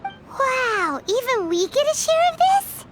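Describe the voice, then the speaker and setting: A woman voicing a tiny, excitable, naive childlike fairy companion in an English anime game dub. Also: extremely high pitch, takes it slowly, heavy background noise, heavily acted. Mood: impressed, excited. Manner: refers to self in third person, exclamatory.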